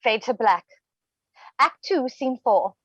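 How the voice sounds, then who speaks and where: A woman's voice: fairly high pitch, expressive, slow pace, slightly rough, no echo, dull audio. A woman narrating scripted stage directions for a play reading.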